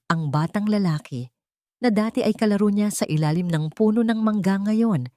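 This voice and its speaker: Low-voiced woman narrating a dramatic story. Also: a little mellow, no echo, clean studio sound.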